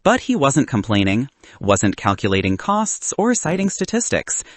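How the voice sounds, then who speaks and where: A man with a slightly husky voice narrating a romantic comedy story from a script. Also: mid-range voice, wildly swooping pitch, moderate pace, no room sound. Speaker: audiobook narrator.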